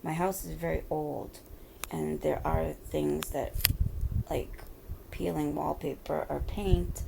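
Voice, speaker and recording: A hoarse woman in her thirties talking at home in a halting, rambling vlog, full of fillers. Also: deep voice, level tone, noisy background. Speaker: vlogger. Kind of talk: personal confessional vlog.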